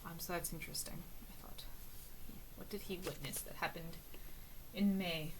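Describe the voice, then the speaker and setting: A woman reading aloud from book notes in a casual, musing way, with many ums and uhs, often trailing off softly. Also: fairly low pitch, fairly even intonation, moderate pace, noisy background. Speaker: book reader and annotator.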